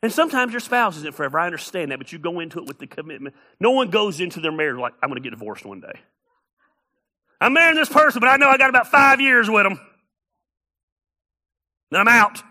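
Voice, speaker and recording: A man's voice: sits high, very animated, fast, long pauses, pushed and bright. A middle-aged man preaching a sermon as pastor, emphatic and repeating key phrases for effect.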